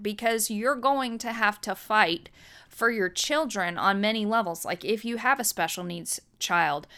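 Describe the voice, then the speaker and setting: Smooth-voiced woman teaching earnestly. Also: medium pitch, sharp tone. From United States. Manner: earnest, explanatory, repeats words when emphasizing. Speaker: podcast host and birth educator. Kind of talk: teaching monologue.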